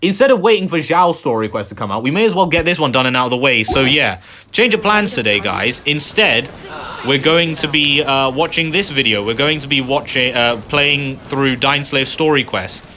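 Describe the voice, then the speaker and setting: A man's voice: mid-range voice, lively intonation, normal speed, bright, forceful tone, slight background noise. A man giving casual, rambling gameplay commentary, full of fillers. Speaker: gaming youtuber.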